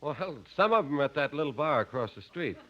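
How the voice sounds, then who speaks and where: 1950s radio comedy: male bandleader sidekick actor, easygoing, a little high, on dull lo-fi recording. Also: expressive, fairly quick, natural voice.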